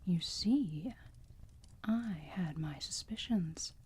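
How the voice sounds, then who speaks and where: A woman playing a motherly, teasing vampire who soothes a wounded enemy in a slow, breathy whisper, in an ASMR roleplay. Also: lowish voice, lively intonation, darkish tone, full character voice. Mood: musing. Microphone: close microphone.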